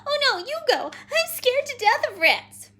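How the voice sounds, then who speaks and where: A woman voicing a timid, easily frightened little girl in a children's story, with shrill, anxious exclamations. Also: very animated, a little slow, pushed and bright, heavily acted.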